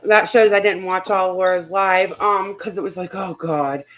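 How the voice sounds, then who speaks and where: A woman's voice: lowish voice, steady tone, normal speed, fairly bright tone, slight background noise. A woman hosting a casual, gossipy chat and reading comments aloud in an informal, giggly manner. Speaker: host.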